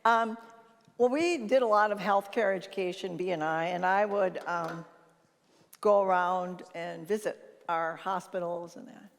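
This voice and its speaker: An older woman with a naturally loud voice gives an informal conference talk, telling anecdotes and acting out bits of conversation. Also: on the low side, a little slow. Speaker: conference speaker and leadership consultant. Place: large reverberant conference hall. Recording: reverberant hall sound.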